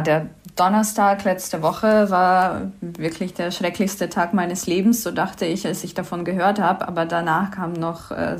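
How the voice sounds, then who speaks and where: A woman from Ukraine, a guest on a podcast, speaking haltingly with many fillers and audible emotion. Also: lowish voice. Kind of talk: podcast interview.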